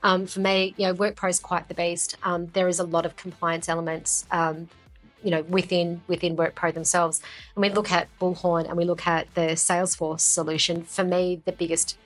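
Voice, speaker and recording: A woman's voice: lowish voice, little pitch movement, normal speed. A woman doing a conversational business interview as a company founder, talking up a product. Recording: music behind the talk. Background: background music.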